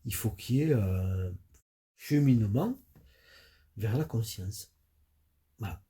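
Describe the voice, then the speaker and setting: Dark-voiced man with a stuffy nose. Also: lowish voice, lively intonation. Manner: halting, many fillers and restarts. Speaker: spiritual coach. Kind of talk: hesitant spoken audio monologue.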